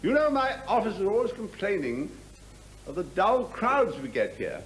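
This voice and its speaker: Pompous, high-voiced male senior officer. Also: big pitch swings, rough recording. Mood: falsely jovial. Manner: pompous, patronising, deliberate phrasing. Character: condescending senior military officer, falsely jovial, menacing man. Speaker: actor. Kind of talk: dramatic acting. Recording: clear background noise.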